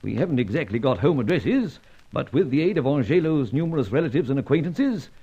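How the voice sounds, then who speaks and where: An actor playing an excitable British spymaster in a radio serial, hearty and sputtering with a British accent, on a dull old recording. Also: male voice, mid-range voice, a little fast, breathy edge. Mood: brisk, blustering.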